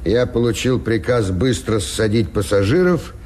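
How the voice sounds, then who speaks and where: A gruff, weary ship's captain played by a man in a radio play, with a low, dark voice that moves expressively. He speaks confidentially and hesitantly over light noise from an old recording. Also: animated, natural voice. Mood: placating, weary. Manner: hesitant, deliberate, with pauses and muttered asides. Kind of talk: Soviet radio drama acting.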